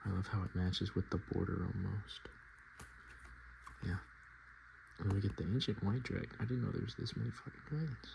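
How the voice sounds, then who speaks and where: A man softly speaking and whispering in a casual, murmuring ASMR style, as host showing items to the viewer. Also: low voice, normal speed, creaky, pauses often, noisy background. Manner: hushed, casual murmured asides. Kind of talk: ASMR, softspoken and whispered card show-and-tell.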